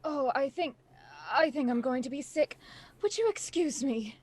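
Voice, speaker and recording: An actress playing a delicate, grieving young heiress in a radio murder mystery. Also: female voice, sits high, animated, normal speed, a little mellow. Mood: queasy.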